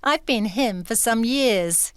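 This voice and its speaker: A woman's voice: fairly high pitch, lively intonation, takes it slowly, smooth, no echo. A woman acting in an audio drama as a shrewd, self-assured writer, speaking in a composed and pointed way. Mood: cool, triumphant. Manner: composed, pointed, quietly assertive. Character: shrewd, self-assured woman writer secretly behind a male pen name.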